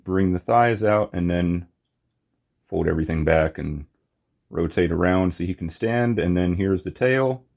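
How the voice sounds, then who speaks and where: A man giving a casual, unscripted hands-on toy review, talking in short, halting phrases on a slightly muffled recording. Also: deep voice, gravelly, a little mellow. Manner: halting, short phrases while handling objects.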